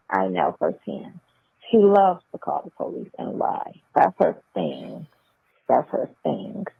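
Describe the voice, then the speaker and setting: A woman's voice: fairly low pitch, creaky, slightly rough, no echo. A woman chatting casually and bluntly on a phone call, repeating phrases for emphasis. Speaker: phone caller. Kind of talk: casual chat. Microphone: telephone. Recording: tinny, band-limited jail phone line.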